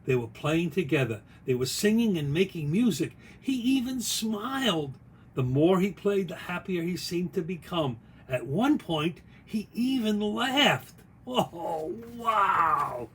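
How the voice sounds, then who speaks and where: A man reading their own picture book aloud in an expressive, folksy way, with chatty asides along the way. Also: high-pitched, a little hiss or hum. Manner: folksy, expressive, pausing to comment on the story.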